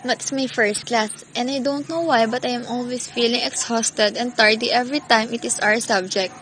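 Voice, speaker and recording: A woman's voice: a little high, steady tone, normal speed, slight background noise, lightly acted, poor audio. A woman playing an exhausted young student, narrating in a scripted first-person style. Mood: tired, uneasy. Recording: loud classroom chatter behind.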